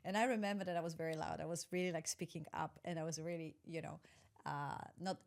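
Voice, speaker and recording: A woman's voice: low-pitched, steady tone, fast, gravelly. A woman in her forties, from Poland with Polish as her first language, talking reflectively as a podcast guest, searching for words and correcting herself. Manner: reflective, searching, self-correcting, soft laughs. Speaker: guest, executive coach. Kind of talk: conversational interview.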